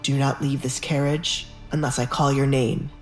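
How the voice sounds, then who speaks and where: A woman voicing a possessive, quietly unhinged young nobleman in an audio romance drama, low-toned and threatening. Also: level tone, moderate pace, soft background music. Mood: cold, protective.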